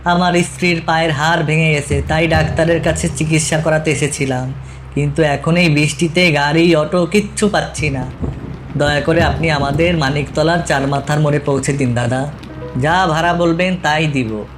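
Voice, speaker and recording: A man's voice: a little high, fairly even intonation, moderate pace, soft background music, voice pushed up, lightly acted. A man playing a polite, anxious husband pleading for help in a cartoon ghost story.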